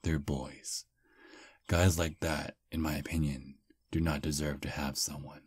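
A man voicing a charming, polite male vampire on a first date, speaking softly and flatteringly in an ASMR roleplay. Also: rumbling low, unhurried, husky, dry recording, full character voice. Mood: smooth flirting. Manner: soft, flattering, gentlemanly. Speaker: voice actor.

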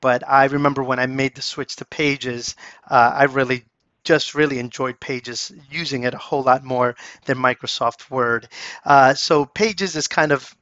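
A man narrating a casual software tutorial, chatty and enthusiastic, with lots of fillers. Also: mid-range voice, steady tone, normal speed, clean tone, no room sound. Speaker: course instructor. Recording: dull, slightly lo-fi dry voice-over.

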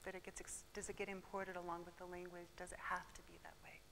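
Woman researcher, lower voice, earnest and explanatory, handheld mic in a noisy lecture room. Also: flat delivery, quick pace, pushed and bright. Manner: earnest, explanatory, with light laughs. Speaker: presenting researcher. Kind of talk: seminar Q&A answers.

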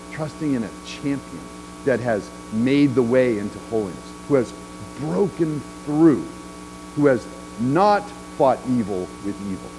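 Middle-aged man, pastor, conversational sermon, mid pitch, church sanctuary, music underneath. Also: mellow, low-quality recording. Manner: conversational preaching with frequent "right?" and "um"; walks the chancel gesturing while speaking.

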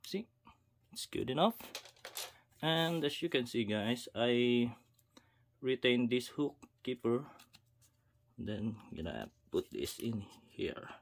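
Slow-talking man narrating a rod repair. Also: mid-range voice, animated, slight background noise. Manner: unhurried, self-talk with pauses, addresses viewers as guys. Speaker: fishing video host. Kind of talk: casual how-to narration while working. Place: indoors at a wooden table.

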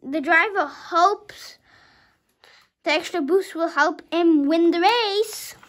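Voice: very high-pitched, takes it slowly, a bit bright. A young child of about five reading a storybook aloud dramatically, sometimes stumbling over words and rereading them.

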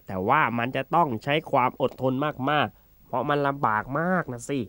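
A man's voice: medium pitch, moderate pace, fairly bright tone, no room sound, natural voice. A man voicing a brave, wily turtle with a confident, teasing manner in a children's fable. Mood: sly, persuasive. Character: brave, wily, unflappable turtle who outsmarts bullies. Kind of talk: children's animal fable character acting.

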